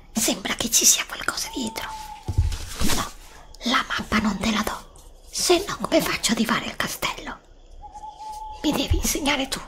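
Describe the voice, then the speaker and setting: A woman voicing a frightened, weary, distrustful heroine lost in a forest in an ASMR roleplay, breathy, plaintive and hesitant, close to the mic. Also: a little high. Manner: whispered, breathy, plaintive, hesitant with repeated phrases. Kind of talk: ASMR roleplay, whispered acting. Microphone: close desktop USB microphone.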